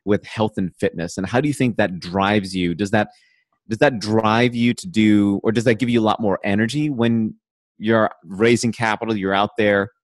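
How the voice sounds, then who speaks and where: A man's voice: fairly low pitch, steady tone, normal speed, dry recording. A man in casual podcast chat, joking often and restarting his sentences. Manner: restarts and stammers, laughs a lot. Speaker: podcast co-host.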